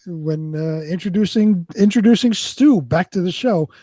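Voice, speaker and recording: A man's voice: high voice, moderate pace, dark tone, no room sound. A man co-hosting a live show in casual, conversational chat. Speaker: co-host of a live online show.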